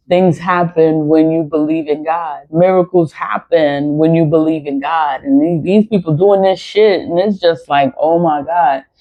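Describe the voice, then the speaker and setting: A woman in her forties ranting bluntly to her viewers, swearing often and hammering her points with repeated phrases. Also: sits low. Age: 40s. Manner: repetitive, emphatic phrasing. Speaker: spiritual vlogger. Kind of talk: unscripted monologue to viewers. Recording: dull lo-fi recording.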